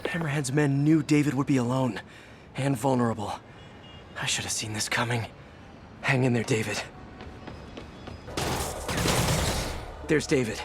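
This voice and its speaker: An actor playing a youthful, kind-hearted superhero in a video game, with an American accent and a casual, wry, friendly way of talking. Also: male voice, medium pitch, fairly even intonation, normal speed, natural voice. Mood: urgent, worried. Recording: clear background noise.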